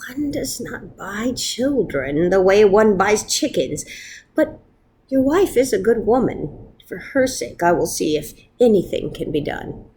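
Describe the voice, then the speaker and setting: A woman voicing a stern, wise male wonder rabbi in a storybook, speaking in a grave, admonishing, deliberate manner. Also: medium pitch, big pitch swings, darkish tone, husky, heavily acted. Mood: grudging.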